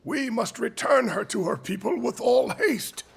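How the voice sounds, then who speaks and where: An actor playing a flustered, indignant royal counsellor in a fantasy game, theatrical and blustering. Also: male voice, very high-pitched, lively intonation, unhurried, vocal fry, husky, exaggerated voice. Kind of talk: cinematic fantasy game acting. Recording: music behind.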